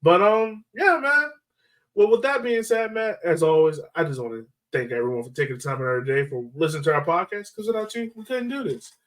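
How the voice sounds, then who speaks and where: Male podcast host, fairly high voice, chatty and slangy, sports talk, a bit distant from the microphone. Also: fairly quick, a bit bright. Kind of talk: casual sports podcast chat. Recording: voice slightly far from mic.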